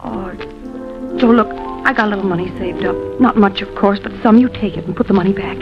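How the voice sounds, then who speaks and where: A woman's voice: medium pitch, fairly quick, close to an everyday voice. An actress playing a devoted, anxious young American girlfriend in a 1950s radio drama, emotional and pleading. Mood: shocked, desperate. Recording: dull old radio recording with music.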